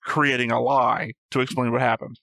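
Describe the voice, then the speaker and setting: A man in casual discussion as a reaction show co-host. He has a middling pitch and argues his points with wry jokes. The sound is dry, with very little room in it. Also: little pitch movement, moderate pace, creaky, a bit bright, no echo. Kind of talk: casual discussion of an animated series.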